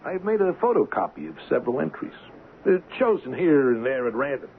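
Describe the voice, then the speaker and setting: An actor playing a bitter, mocking, hard-drinking ship's engineer turned blackmailer in an old-time radio drama. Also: male voice, fairly high pitch, sing-song, brisk. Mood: taunting. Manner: mocking, theatrical, taunting. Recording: lo-fi, noisy radio drama recording.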